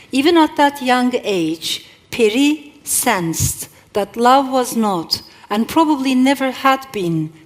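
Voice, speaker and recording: A middle-aged woman author whose first language is not English, speaking thoughtfully at a live event and reading from her novel. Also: fairly high pitch. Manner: reflective, with measured pauses and occasional restarts. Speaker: author and guest.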